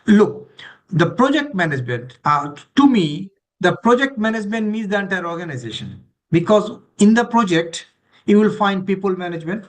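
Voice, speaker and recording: A man leading a training session, explaining in an emphatic, list-like way and checking that listeners follow. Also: sits high. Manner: emphatic, repetitive listing, checks understanding with questions. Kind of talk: explanatory teaching to online participants.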